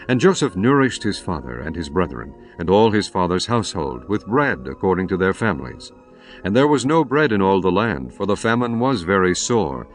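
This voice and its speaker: A man narrating scripture in a solemn, expressive scripted reading. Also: low voice. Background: faint music.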